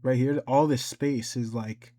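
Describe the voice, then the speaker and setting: A man giving a casual, informal software tutorial, talking himself through each step as he goes. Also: medium pitch, fairly even intonation, a little slow. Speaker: tutorial host.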